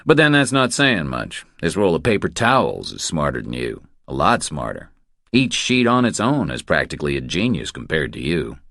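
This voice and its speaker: Guy reading as a really low voiced tough drifter, sarcastic, bossy, super close dry sound. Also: huge pitch swings, moderate pace, gravelly, fairly bright tone, no echo, exaggerated voice. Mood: mocking. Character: tough, taunting, self-assured male drifter hero.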